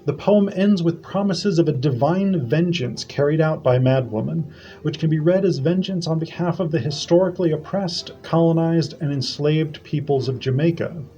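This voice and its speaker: A man reads aloud a prepared academic paper, formal and measured. His voice sits a little high but sounds mellow and warm. The audio is dull and lo-fi. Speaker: academic presenter. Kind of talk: reading a prepared conference paper.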